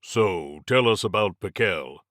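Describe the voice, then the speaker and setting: A man's voice: deep voice, lively intonation, measured pace, fairly bright tone, no echo, studio quality. A man voicing a blunt, wary male investigator in an audiobook, with a direct, terse manner. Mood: businesslike, probing. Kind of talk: audiobook character acting.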